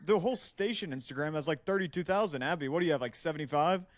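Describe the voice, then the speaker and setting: A man's voice: fairly high pitch, fast talker. A man co-hosting a podcast, chatting casually and asking questions in a conversational way. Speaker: podcast co-host.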